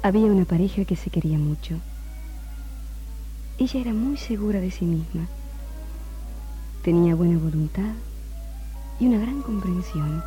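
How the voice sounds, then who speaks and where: An actress playing a tender, regretful lover voicing an intimate confession letter in a 1960s radio drama. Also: female voice, fairly low pitch, mellow. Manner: slow, intimate, breaking into near-whisper. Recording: muffled 1960s radio recording, music underneath. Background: faint music.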